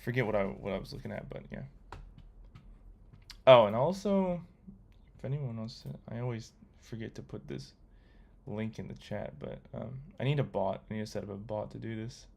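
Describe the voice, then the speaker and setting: A man's voice: on the low side, moderate pace, gravelly. A young man chatting casually on a coding livestream, reading viewer comments aloud and rambling with lots of ums and uhs. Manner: relaxed, rambling, reads chat aloud with many fillers. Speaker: livestreamer learning to code.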